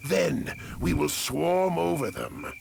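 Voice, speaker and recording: An actor playing a cold, scheming necromancer war commander, narrating a game cutscene in a theatrical, commanding, gravelly voice. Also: male voice, a little high, sing-song, takes it slowly, noisy background, full character voice. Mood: menacing resolve. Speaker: campaign briefing narrator. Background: music.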